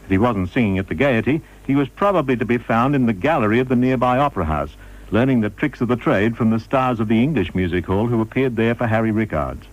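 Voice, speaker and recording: A man reading scripted radio documentary narration in a measured, formal manner. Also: medium pitch, expressive, highs cut off.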